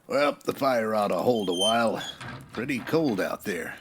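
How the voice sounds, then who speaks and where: Faintly noisy radio western, low voiced upright marshal, earnest n folksy. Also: male voice, expressive, measured pace. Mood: calm, earnest. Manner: plain-spoken, earnest, folksy. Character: steady, upright Old West frontier marshal. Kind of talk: old-time radio western acting.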